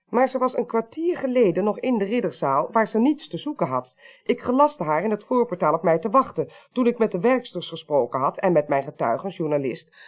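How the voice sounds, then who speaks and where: A woman playing an assertive, sharp-witted investigator in a Dutch radio drama, speaking precisely and cutting others short. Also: mid-range voice. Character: assertive, sharp-witted female police investigator, brisk and insistent.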